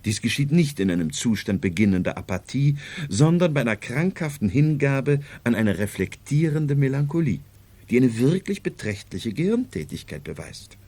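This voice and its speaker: Gelehrter Doktor, belegt und lebhaft moduliert. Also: male voice, medium pitch, big pitch swings, moderate pace, darkish tone. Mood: clinical, absorbed. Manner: pedantic, lecturing, formal. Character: learned, self-assured male psychiatrist, explaining at length. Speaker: radio drama actor. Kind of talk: 1970s radio drama acting.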